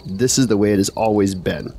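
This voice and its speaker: An actor playing a stern mentor to young villagers, solemn and exhorting, in formal fantasy radio drama style. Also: male voice, lowish voice, brisk, gravelly, mellow, a little hiss or hum.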